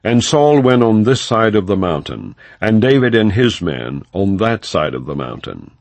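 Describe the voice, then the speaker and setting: A man reading scripture as an audiobook narrator, in a solemn, measured and formal delivery without dramatization. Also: fairly low pitch, big pitch swings, creaky, a little mellow, dry recording. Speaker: audiobook Bible reader.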